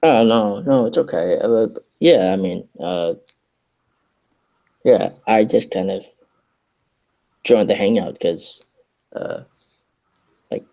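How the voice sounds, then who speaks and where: Slow, gravelly, hesitant man. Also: on the low side, mellow, low-quality recording. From United States. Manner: hesitant, halting, many "uh"s. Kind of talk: casual group chat. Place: online voice hangout.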